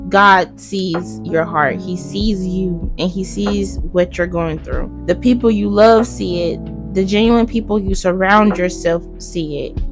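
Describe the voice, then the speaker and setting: Hoarse woman vlogger, earnest and soft. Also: medium pitch. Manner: earnest, soft-spoken, addresses viewers directly. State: losing her voice, hoarse. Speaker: crochet vlogger. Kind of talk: heartfelt casual talk to viewers while crocheting. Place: her room.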